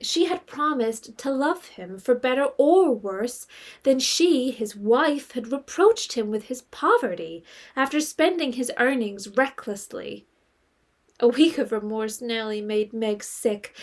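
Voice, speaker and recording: A woman playing the composed storyteller of a period novel, narrating expressively and articulately in an audio drama. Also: fairly high pitch.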